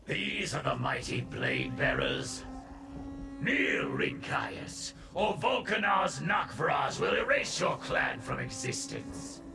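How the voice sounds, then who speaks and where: A man's voice: mid-range voice, huge pitch swings, takes it slowly, gravelly, echoey room, far from the mic, over music, heavily acted. An actor playing a sneering, tyrannical henchman in a fantasy video game, contemptuous and threatening. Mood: scornful threat.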